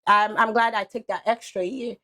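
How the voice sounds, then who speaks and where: A woman's voice: fairly high pitch, fairly even intonation, normal speed, sharp tone. A woman in her twenties from Haiti with a Haitian accent, a podcast guest talking through her story in a reflective, casual way, full of "you know". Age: 20s.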